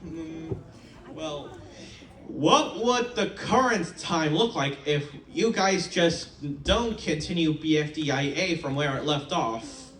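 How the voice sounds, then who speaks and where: A man in the audience asking a question, hesitant and pausing. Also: fairly high pitch, a bit bright, slight background noise. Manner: hesitant, clearing throat. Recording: roomy, distant, crowd behind.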